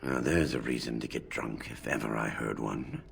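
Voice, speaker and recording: A man voicing a gruff, hard-drinking dwarf warrior in a fantasy game, blunt and grumbling in a rough, gravelly voice. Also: very deep voice, normal speed, exaggerated voice.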